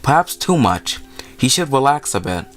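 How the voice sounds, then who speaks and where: A man's voice: medium pitch, very animated, moderate pace, fairly bright tone. A man hosting a gaming video, reading a visual novel aloud and adding casual, informal asides.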